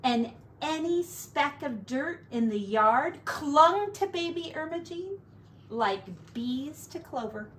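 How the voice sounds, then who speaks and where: A woman teacher reading a picture book aloud to children, slow and expressive. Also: high-pitched, slight background noise.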